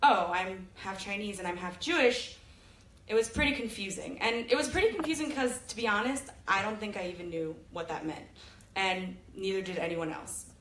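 A woman's voice: mid-range voice, moderate pace, fairly bright tone, slight background noise. Chatty woman on an echoey stage. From Sacramento, California. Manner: chatty, jokey, self-deprecating storytelling. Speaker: presenter. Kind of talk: live stage talk. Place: large auditorium with audience. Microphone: handheld mic filmed from the audience. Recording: echoey hall, audience phone recording.

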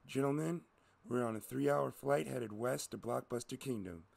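A man's voice: medium pitch, normal speed, gravelly, a little mellow. A man voicing a tough, bored, sarcastic woman pilot with a curt, bossy manner in an audio story. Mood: sardonic, bossy.

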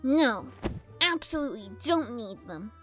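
A woman's voice: a little high, expressive, a little slow, a bit bright, soft background music. A woman voicing a bratty, indignant young girl pony villain in a fan fiction audio drama, whiny, exasperated and dramatic. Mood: sullen.

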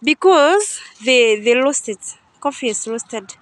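A woman's voice: a little high, animated, slow, dry recording. A young woman chatting casually as she walks through a coffee farm, thinking aloud and often unsure. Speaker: vlogger touring a coffee farm. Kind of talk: casual guided walk-through. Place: outdoors at a coffee farm and factory. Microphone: phone camera held by speaker.

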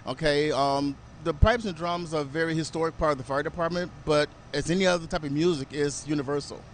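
Male veteran firefighter, 60s, fairly high voice, hesitant explainer, faint street noise from an open garage bay. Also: steady tone, fairly quick. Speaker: veteran firefighter and honor guard drummer. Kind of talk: live interview. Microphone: reporter's handheld microphone.

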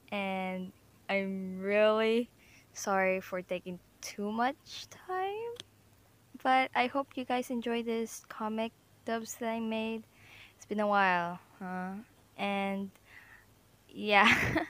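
A woman hosting her channel, giving a casual outro in an informal, apologetic way. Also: on the high side. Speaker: amateur comic dubber and channel host.

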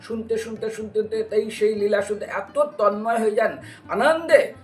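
A man's voice: sits high, normal speed. A man preaching in a devotional storytelling style, explaining scripture and asking rhetorical questions as he goes. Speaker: religious preacher giving a devotional lecture. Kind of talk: devotional storytelling sermon with recited scripture verses. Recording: distant microphone with music behind.

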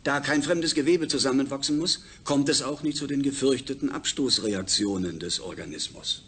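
A medium-pitched male voice of an actor playing a space project chief in a science fiction radio play. He lectures with formal assurance over faint ambient noise. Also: normal speed, fairly bright tone, natural voice. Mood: technical, formal. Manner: formal, explanatory. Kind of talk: German science fiction radio drama acting.

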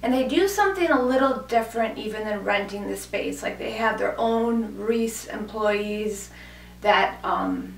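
A woman in her thirties, hoarse from a cold, chatting casually and gushing about clothes on camera in a small room, with lots of fillers. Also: mid-range voice, measured pace, slight background noise. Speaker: fashion vlogger. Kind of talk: casual clothing haul chat. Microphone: camera mic from a few feet away. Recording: echoey room, mic at a distance.

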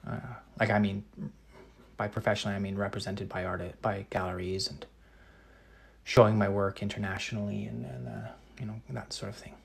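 A Canadian man in his thirties, talking casually while he paints. His voice is low and gravelly with a fairly flat delivery. He rambles with ums and restarts but articulates some words very precisely. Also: a little fast, a little hiss or hum. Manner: relaxed, thinking aloud with many ums and restarts. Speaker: painter answering live chat. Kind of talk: casual live-stream chat while painting.

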